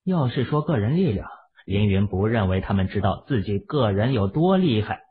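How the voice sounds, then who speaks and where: Male audiobook narrator, husky, lively pitch swings, thin phone-like sound. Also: moderate pace. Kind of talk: expressive scripted novel narration. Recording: muffled, phone-like narrow sound.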